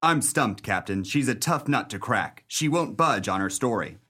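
A man's voice: mid-range voice, lively intonation, normal speed, pushed and bright, slightly rough. Hard-boiled 1930s police detective played by a man. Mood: matter-of-fact. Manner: wry, tough-talking. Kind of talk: 1930s pulp crime radio play acting and narration.

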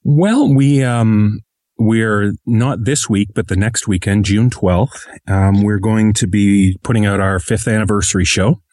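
A man's voice: on the low side, measured pace, darkish tone, no room sound. A man speaking casually as an interview guest, with a modest, self-deprecating manner. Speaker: podcast host interviewed as guest.

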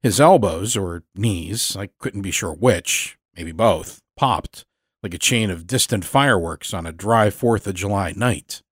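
A man with a fairly low voice narrates a scripted dark tale in the first person. His delivery is measured and dramatic, recorded close and dry with very little room sound. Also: breathy edge, dry recording. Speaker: storyteller, narrator.

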